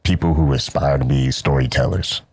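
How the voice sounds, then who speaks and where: A man's voice: very deep voice, moderate pace, husky, dry recording, clean studio sound. A man hosting a casual interview, putting questions in an informal, buddy-like way. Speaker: interviewer, show host.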